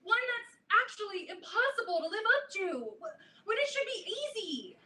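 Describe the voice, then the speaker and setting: Woman playing emphatic, halting, hurt young schoolgirl, very high pitch, staged drama, distant roomy recording, faint noise. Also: expressive, bright, forceful tone, heavily acted. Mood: hurt, pleading. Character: earnest, hurt teenage girl confronting her best friend. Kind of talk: staged play reading. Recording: echoey room, distant mic, faint noise.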